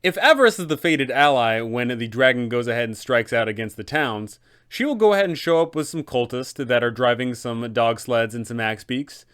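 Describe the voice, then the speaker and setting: A man's voice: medium pitch, animated, moderate pace, hardly any pauses, a bit bright, clear, resonant tone. A man talking as an informal game master host, explaining things in a conversational, instructional way.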